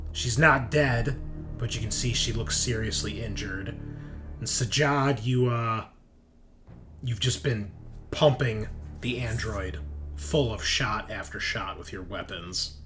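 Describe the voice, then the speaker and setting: A man's voice: medium pitch, pushed and bright. Man game-mastering, music behind him. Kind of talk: improvised narration of a tabletop roleplaying game.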